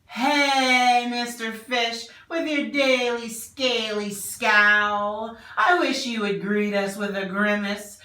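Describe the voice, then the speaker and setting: A woman voicing a chirpy male jellyfish who gently scolds a friend, in a sing-song, teasing manner. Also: on the high side, fairly even intonation, slow pace, few pauses. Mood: chiding. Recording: roomy sound from a distant mic.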